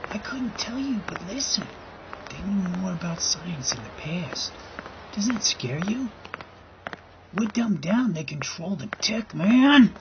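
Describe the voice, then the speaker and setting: A man acting in an audio drama as a rambling, agitated, crude guy arguing about conspiracies. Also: high-pitched. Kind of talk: scripted audio drama, casual dialogue. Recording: dull recording with loud background noise.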